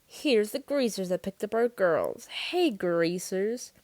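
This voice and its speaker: A woman's voice: medium pitch, expressive, takes it slowly, darkish tone, no echo. A woman voicing a menacing, sneering teenage male gang leader in a story reading, with taunting emphasis. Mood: sneering, threatening. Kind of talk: audiobook-style character dialogue.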